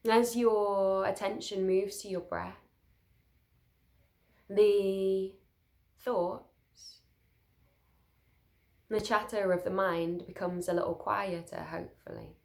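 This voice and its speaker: A woman leading a guided sleep meditation, speaking soothingly and gently in short, unhurried phrases with pauses. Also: medium pitch, steady tone, creaky.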